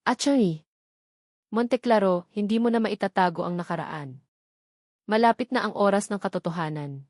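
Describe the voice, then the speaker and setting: A woman's voice: mid-range voice, normal speed, darkish tone, no echo, clean studio sound, lightly acted. A woman narrator voicing a mysterious, threatening caller in an audio storybook, with an ominous manner. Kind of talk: audio storybook character dialogue.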